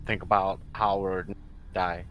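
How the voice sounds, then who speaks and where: A man's voice: low voice, level tone, moderate pace, muffled recording. A man playing a tabletop game, chatting casually and jokingly with friends.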